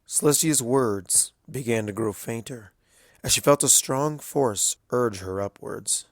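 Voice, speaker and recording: A man reading a dramatic story as narrator in a solemn, hushed, deliberate way. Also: mid-range voice, no echo, clean studio sound. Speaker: fan fiction narrator.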